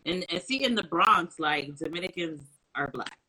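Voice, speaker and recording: A woman hosting a casual interview, expressive and quick to affirm what her guest says. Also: on the low side, slightly rough, low-quality recording. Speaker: interview host. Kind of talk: casual chat, reading questions.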